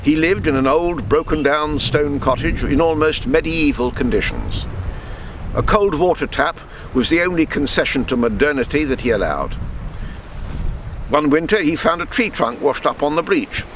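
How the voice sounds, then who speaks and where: A man reading his own written story aloud in a measured storytelling manner, with small stumbles and self-corrections. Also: fairly high pitch, lively intonation, a bit bright, a little hiss or hum, poor audio.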